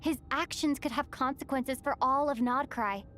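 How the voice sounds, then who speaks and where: High-voiced earnest young heroine. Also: female voice, normal speed, fairly bright tone. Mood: earnest, pleading. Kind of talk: video game voice acting. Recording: music behind the voice. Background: game music.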